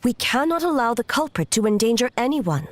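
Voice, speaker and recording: A woman's voice: on the high side, very animated, no echo. Solemn, dignified female ruler. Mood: solemn, composed. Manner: formal, measured, earnest. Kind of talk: English game voice acting.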